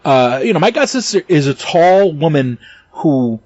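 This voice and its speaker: A man on a casual podcast, pitched a little higher than most men, swearing freely as he gets worked up. He trips over his words and restarts, and the sound is dry and dull like a lo-fi home recording. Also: sing-song, unhurried, a little mellow, no echo. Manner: heated and profane, stumbling restarts, bursts of laughter. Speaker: podcast co-host.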